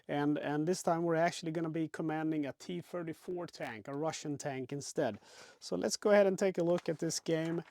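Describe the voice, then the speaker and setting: Casting: sick male board game host, raspy, slightly high voice, dry recording. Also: no room sound. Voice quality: raspier than usual. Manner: relaxed, many fillers and restarts. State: sick with a cold-like illness, raspy. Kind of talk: casual component walkthrough.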